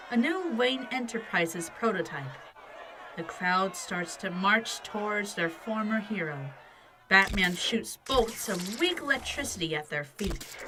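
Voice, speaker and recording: A woman's voice: medium pitch, noisy background. A woman narrating an audio drama from a script as its storyteller.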